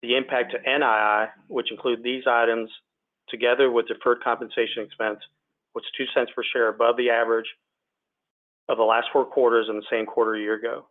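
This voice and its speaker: A man's voice: mid-range voice, level tone, a little fast. A man delivering a scripted, formal financial presentation as a company executive. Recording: tinny narrow phone line.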